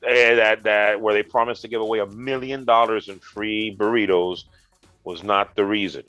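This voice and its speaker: A congested man with a low voice co-hosts a gaming news chat and delivers dry, deadpan jokes. His audio sounds dull and muffled, and it is very dry. Faint music plays underneath. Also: normal speed, no echo. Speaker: co-host, retro gaming specialist.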